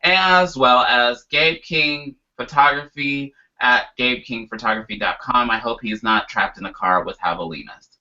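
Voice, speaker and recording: Very bright, forceful male co-host. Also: medium pitch, measured pace. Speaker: show co-host. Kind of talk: casual banter and show outro. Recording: dull lo-fi audio.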